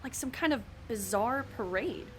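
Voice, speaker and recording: An actress in an audio drama playing an uneasy, incredulous school staffer who breaks bad news hesitantly and earnestly. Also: female voice, on the high side, animated, normal speed, slight background noise, close to an everyday voice.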